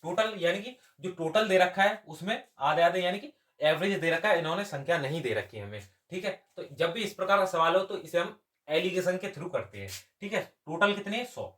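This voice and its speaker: A man's voice: sits high, expressive, normal speed, fairly bright tone. A man teaching step by step as an exam maths coach, asking questions and answering them himself. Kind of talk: step-by-step lesson.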